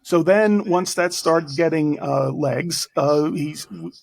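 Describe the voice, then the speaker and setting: A man with a Bronx accent talks as an author guest on an interview podcast. His voice sits a little high for a man, and he speaks in brisk, self-deprecating runs. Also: gravelly, a little mellow, no echo. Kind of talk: casual interview conversation.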